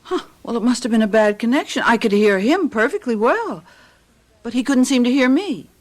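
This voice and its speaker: Woman acting an animated, fretful American housewife. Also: on the high side, normal speed, natural voice. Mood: chatty. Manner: expressive, flustered, chatty. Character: chatty, fretful suburban housewife. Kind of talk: American radio drama acting.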